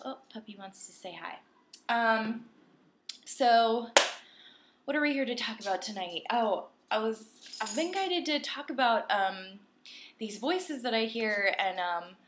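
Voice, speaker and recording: A woman's voice: fairly high pitch, slight background noise. A young woman from New York chatting casually to camera at her home desk, a little tipsy, talkative and giggly with lots of ums. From Long Island, New York. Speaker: spiritual vlogger. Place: home desk at night, candles.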